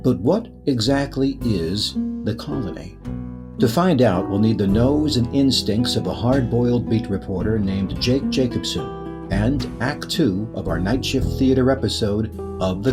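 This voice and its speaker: A man playing an eerie, inviting radio host, narrating a suspense story in a portentous, deliberate, beckoning way. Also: fairly low pitch, lively intonation, music in the background. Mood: ominous narration.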